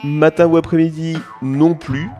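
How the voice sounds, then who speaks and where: A man's voice: fairly high pitch, fairly even intonation, measured pace, mellow, soft background music, natural voice. A man playing an exasperated, hesitant narrator who wanders off in an informal audio comedy. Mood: grumbling, flustered. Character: muddled, grumbling male narrator who mistrusts his script. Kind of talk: informal, mock-improvised comic narration.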